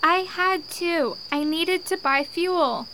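Radio drama child, played by a woman: high, stubborn, earnest, oddly formal delivery on a noisy recording. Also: slow, sharp tone, exaggerated voice. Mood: insistent. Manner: stilted, formal speech without contractions. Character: earnest, stubborn little girl adopted by aliens. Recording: noisy, with cricket-like background.

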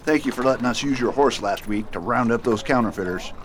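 An actor playing a calm, authoritative US marshal in a western radio drama. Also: male voice, medium pitch, fairly quick, slight background noise, close to an everyday voice. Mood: cordial, businesslike. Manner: calm, commanding. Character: steady, authoritative male US marshal in the West. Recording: faint outdoor ambience behind.

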